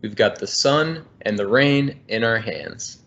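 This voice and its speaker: Um homem de uns trinta anos, engenheiro ambiental, lendo um livro infantil em voz alta, de forma amigável e cadenciada, numa chamada de vídeo. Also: male voice, fairly low pitch, unhurried, vocal fry, fairly bright tone. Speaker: environmental engineer. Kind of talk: reading a children's book aloud. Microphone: laptop webcam on video call. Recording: muffled video call audio.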